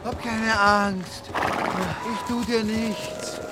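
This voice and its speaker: An actress playing a brave woman calming a frightened animal in a radio drama, speaking soothingly. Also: fairly high pitch, very slow. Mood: soothing, tense. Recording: loud outdoor storm and animal noise. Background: loud ambience with animals.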